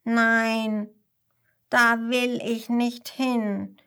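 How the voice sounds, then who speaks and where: A woman voicing a stubborn, eager little koala boy in a children's story, speaking in an emphatic, excitable, childlike way. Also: a little high, extremely slow, smooth, heavily acted. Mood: stubborn, defiant.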